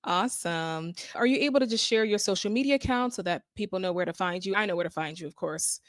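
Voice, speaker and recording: A bubbly woman with a Northeastern US accent runs a cheerful interview, laughing often between questions. Her voice is a middle pitch, and the sound is dry and close with no room echo. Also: fast. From Connecticut. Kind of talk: upbeat casual interview.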